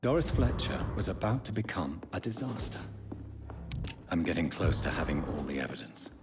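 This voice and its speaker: A man's voice: low-pitched, very animated, normal speed, noisy background. An actor playing a brooding male vampire doctor in a video game, grave and menacing when pushed. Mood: pensive. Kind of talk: dramatic video game acting. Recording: dramatic music behind.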